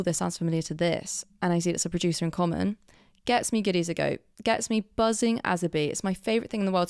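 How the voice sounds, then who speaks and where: Woman, young podcast host, chatty and keen, smooth voice with a very dry close sound. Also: on the low side, a little mellow, dry recording. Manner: chatty and enthusiastic, talks straight to listeners. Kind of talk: solo music podcast monologue.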